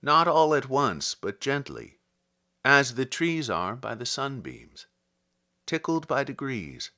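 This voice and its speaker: Guy reciting old poems, bright forceful voice, takes his time, very theatrical. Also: mid-range voice. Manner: theatrical, deliberate, expressive. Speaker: podcast host and poetry reader. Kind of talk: dramatic poetry recitation.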